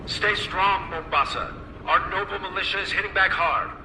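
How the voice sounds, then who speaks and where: An automated city announcer for an audio drama. The high, piercingly bright voice delivers slogans through a distant loudspeaker with long reverb. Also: male voice, normal speed, slight background noise. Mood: rousing. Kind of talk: recorded public announcement.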